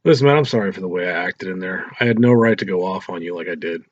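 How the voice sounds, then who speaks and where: Man voicing a weary troubled teenage boy, husky. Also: deep voice, fast. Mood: apologetic. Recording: roomy echo, dull lo-fi sound.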